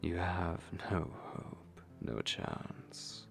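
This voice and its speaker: A man playing a possessive, commanding vampire lord in an ASMR roleplay, speaking in breathy, gravelly whispers with slow, menacing condescension. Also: deep voice, music in the background, full character voice. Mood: possessive, warning. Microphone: close microphone.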